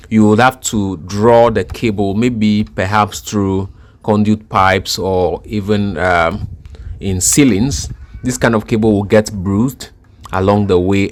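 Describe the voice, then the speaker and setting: Low-pitched man giving a methodical tech tutorial. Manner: methodical, repeats points for emphasis. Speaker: instructor. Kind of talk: explanatory tech tutorial, unscripted.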